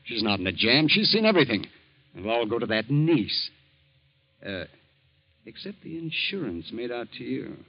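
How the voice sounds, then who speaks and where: A sly, menacing ex-lawyer. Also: male voice, medium pitch, big pitch swings, moderate pace, pauses often. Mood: scheming. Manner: insinuating, menacing. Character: scheming sly male ex-lawyer. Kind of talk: 1940s radio acting. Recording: dull old radio recording.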